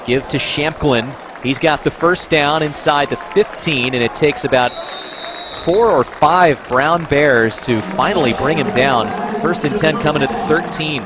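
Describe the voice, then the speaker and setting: A man calling college football play by play, brisk and informative. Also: fairly high pitch. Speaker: play-by-play announcer. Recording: dull broadcast audio with crowd noise.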